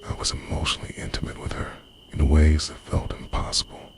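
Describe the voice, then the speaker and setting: A man's voice: fairly quick, background noise, lightly acted. A man playing a lovestruck, obsessive dream invader in an intimate ASMR monologue, confessional, brooding and half-whispered. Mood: yearning, guilty.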